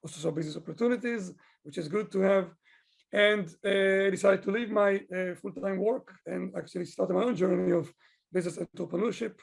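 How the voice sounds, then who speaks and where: A man from Israel with a husky, gravelly voice, talking at length and explaining his work as a consultant in a conversational interview. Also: high voice, brisk. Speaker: business owner, digital consultant being interviewed.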